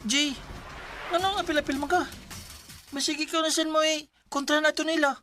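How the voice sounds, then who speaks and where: A woman's voice: sits high, fairly even intonation, fairly quick, sharp tone, faint music behind. A woman playing a cheeky, friendly boy in a Cebuano radio drama. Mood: earnest. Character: cheeky, friendly boy, teasing his pal.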